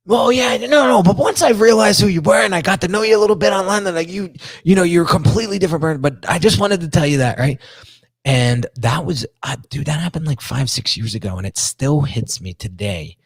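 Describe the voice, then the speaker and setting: A middle-aged man with a strong New Jersey accent and a deep voice, hosting a podcast and telling stories in casual, animated chat, often repeating and restarting words. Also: fairly quick, husky, dry recording, clean studio sound. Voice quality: deep register. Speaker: podcast host.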